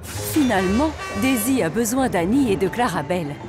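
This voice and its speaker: A woman's voice: mid-range voice, animated, moderate pace, music in the background. A woman reading scripted narration for a French children's cartoon, as its storyteller.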